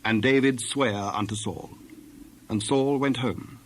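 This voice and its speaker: A man's voice: mid-range voice, measured pace, a little hiss or hum. A man narrating a dramatized scripture recording from a script, in the role of storyteller.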